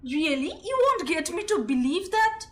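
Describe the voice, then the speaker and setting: A woman's voice: very high-pitched, big pitch swings, normal speed, fairly bright tone, heavily acted. A young Indian-accented woman playing a stubborn, indignant landowner's daughter in an amateur audio drama, arguing emphatically. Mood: disbelieving. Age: young adult. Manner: argumentative, emphatic, repeating words. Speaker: student actor.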